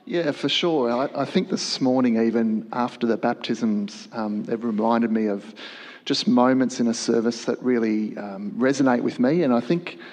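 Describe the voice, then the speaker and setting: Man, worship leader, measured panel answer, long reverb. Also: medium pitch, a little mellow.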